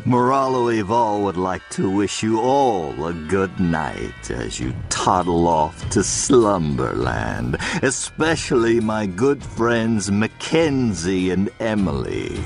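A sinister old alien villain tells bedtime tales in a deep, gravelly voice. He is extremely slow, mock-sweet and theatrical, swooping in pitch with macabre relish over faint music. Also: heavily acted. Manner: theatrical, mock-sweet, savoring each word. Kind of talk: theatrical storytelling, audio comedy sketch.

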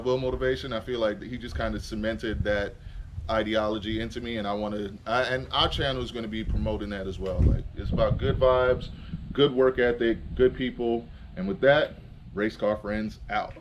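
A man in his thirties, originally from Trinidad, gives an earnest motivational talk to camera in an open-air spot. His pitch sits mid-range, and he leans on fillers as he works through his thoughts. A faint hum of outdoor ambience sits behind him. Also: rough recording. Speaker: vlogger host. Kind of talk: casual chat and to-camera talk. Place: outdoors in front of a house garage.

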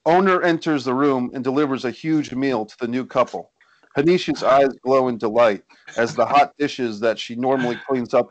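A man's voice: mid-range voice, no echo, rough recording. A man reading stage directions as scripted narration at a screenplay table read, recorded indoors over a video call.

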